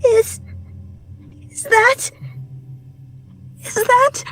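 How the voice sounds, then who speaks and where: A woman's voice: extremely high pitch, fairly even intonation, drawn-out words, a bit bright, full character voice. A woman voicing a terrified female cartoon pony who pleads desperately in a horror fan fiction reading. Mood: desperate, pleading. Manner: desperate, stammering.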